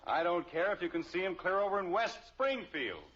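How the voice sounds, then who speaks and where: An actor playing a weary, deadpan father with curt replies in a radio family comedy. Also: sits high, brisk. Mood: exasperated. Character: dry, weary sitcom father at the dinner table. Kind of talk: 1950s radio sitcom acting. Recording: noisy, dull old radio recording.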